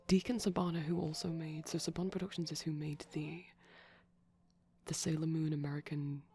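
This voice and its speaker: Soft, creaky-voiced man rambling quietly. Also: on the high side, little pitch movement, normal speed, slight background noise. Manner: chatty, digressive, tentative. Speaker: ASMR performer. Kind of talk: soft-spoken rambling ASMR commentary on a film. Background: TV film audio playing in background.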